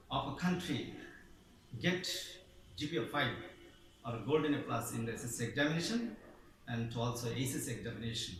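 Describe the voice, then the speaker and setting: A man's voice: a little high, a bit bright, slight background noise. Bangladeshi man giving a formal speech. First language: Bengali. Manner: formal, declamatory, with emphatic rising stresses. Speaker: English teacher. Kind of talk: prepared speech. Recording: echoey room, voice from far off.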